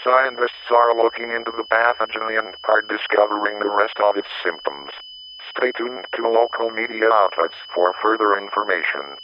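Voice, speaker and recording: A man's voice: fairly low pitch, moderate pace. A man reading a scripted emergency bulletin, formal and impersonal. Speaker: emergency alert announcer. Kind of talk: scripted emergency broadcast announcement. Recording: humming, noisy radio-like signal.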